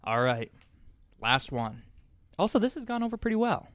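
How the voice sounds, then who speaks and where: A man's voice: sits high, big pitch swings, moderate pace, fairly bright tone, no echo. A man hosting a casual podcast, playfully teasing his guest while reading beer reviews aloud. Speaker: podcast host.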